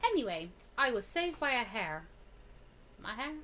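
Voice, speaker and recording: Animated high-voiced woman gamer. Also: moderate pace, a little hiss or hum. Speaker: gaming video host. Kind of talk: visual novel playthrough, reading aloud with casual chat. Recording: game music behind the voice.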